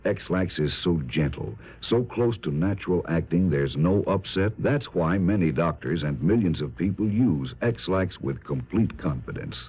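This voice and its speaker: Very deep, husky radio ad announcer. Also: male voice, lively intonation, unhurried, few pauses. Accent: American. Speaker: commercial announcer. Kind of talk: 1950s radio ad read. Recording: muffled vintage radio recording.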